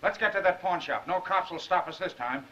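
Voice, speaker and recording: Brash reporter, high bright voice, tough talk, vintage crime film, dull soundtrack. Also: male voice, brisk. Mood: tough, impatient. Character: brash, tough-talking male newspaper reporter, urgent and insistent. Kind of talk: 1930s crime film acting.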